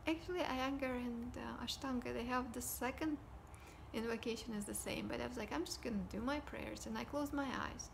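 A woman, talking casually to camera at home in a soft, often whispery voice. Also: fairly high pitch, a little fast, gravelly, noisy background. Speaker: vlogger. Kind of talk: casual personal talk to camera. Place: living room at home, indoors. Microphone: device camera at desk distance.

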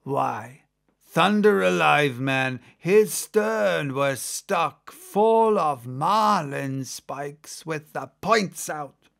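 A man playing an excitable second mate on a sailing ship, spinning a yarn in a dramatic audiobook reading. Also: a little high, extremely slow, creaky, full character voice. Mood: animated yarn-spinning. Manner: conversational, exclamatory storytelling.

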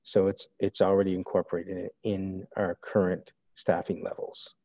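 A man's voice: deep voice, flat delivery, normal speed, dry recording. A man, a city director, answering council questions formally through the mayor. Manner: formal, addresses the mayor first. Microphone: video call. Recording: dry phone-like line.

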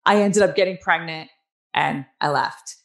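A woman in her forties with a raspy, nasal New Jersey accent, telling her own story candidly and emphatically as an interview guest on a video call. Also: sits low, measured pace. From New Jersey, United States. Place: small room at home, on a video call. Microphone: headset on a video call.

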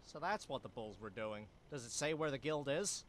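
A man's voice: medium pitch, animated, normal speed, a bit bright, slight background noise, natural voice. A man voicing a skeptical, exasperated cartoon animal sidekick, sarcastic in manner, for an adventure game.

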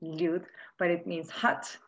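Cheerful young woman learner, lowish voice, headset call, muffled audio. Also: takes it slowly. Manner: cheerful, laughs often, tries out words. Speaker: podcast co-host, learner. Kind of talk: casual language-learning chat. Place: room indoors. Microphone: headset, video call.

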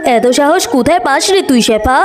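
A woman's voice: high-pitched, lively intonation, normal speed. A woman voicing a haughty, scornful tigress mother who scolds snobbishly in a children's cartoon. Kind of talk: children's cartoon story dialogue.

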